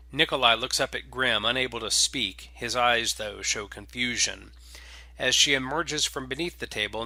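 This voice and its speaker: A man reading scripted space opera fiction as a dramatic, deliberate narrator. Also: mid-range voice, sharp tone, dry recording.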